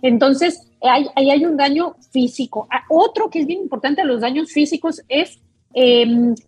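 A woman's voice: high-pitched, moderate pace, darkish tone, no echo. A woman doctor, explaining a topic patiently as a guest on a talk show. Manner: explains patiently, with many fillers and restarts.

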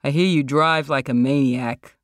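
A man's voice: mid-range voice, steady tone, measured pace, dry recording, studio quality, natural voice. A reader voicing a heavy, bossy older male film star in an angry, controlled mumble for a short story. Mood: gruff, dry.

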